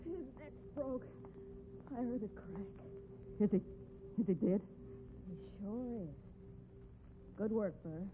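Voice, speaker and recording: A woman's voice: mid-range voice, animated, slow, long pauses. An actress playing a spirited young cowgirl with a folksy American Western accent and earnest plain speech in a 1950s radio drama. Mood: shaken. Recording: tinny, noisy old 1950s radio recording.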